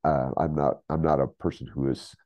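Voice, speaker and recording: A man in his sixties talking reflectively as an interview guest, halting and repeating phrases, on a video call. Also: rumbling low, level tone, highs cut off. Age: 60s. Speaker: guest, writer. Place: home room with bookshelves, on a video call.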